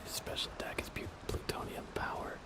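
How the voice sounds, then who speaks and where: A man giving murmured ASMR commentary while livestreaming a video game, often repeating his phrases in playful mock grumbling. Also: fairly quick. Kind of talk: whispered ASMR gaming commentary.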